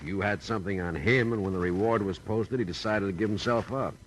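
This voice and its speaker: An actor playing a gruff, doubting police lieutenant in an old-time radio noir, with a measured, wry delivery. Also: male voice, lowish voice, natural voice. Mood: skeptical, weary. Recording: noisy old radio drama recording.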